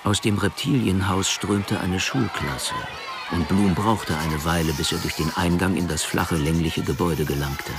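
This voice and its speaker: A man's voice: low voice, fairly even intonation, moderate pace. A man narrating a crime radio play in a scripted, literary storytelling style.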